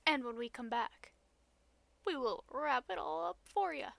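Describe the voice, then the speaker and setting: A woman podcast co-host with a notably high voice and a close, dry sound. She chats casually and leans into playful, mock-dramatic delivery. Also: lively intonation, unhurried, creaky, a bit bright, no room sound. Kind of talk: casual banter.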